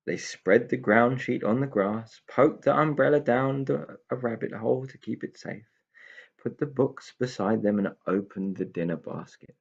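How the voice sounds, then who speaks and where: A man with a gravelly, husky voice reading a children's story expressively as a storyteller. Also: mid-range voice, normal speed, lo-fi sound.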